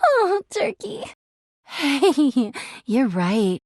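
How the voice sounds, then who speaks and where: An actress voicing a needy, volatile, lovesick living laundry hamper in a video game, melodramatic and possessive in manner. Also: female voice, high-pitched, very animated, extremely slow, a little mellow, no room sound, clean studio sound, exaggerated voice. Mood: sweet, clingy. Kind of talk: video game character acting.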